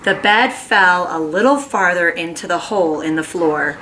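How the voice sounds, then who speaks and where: A woman who teaches kindergarten, reading a children's book aloud at home in an animated, expressive way. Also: on the low side, noisy background. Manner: animated, slow and expressive storytelling.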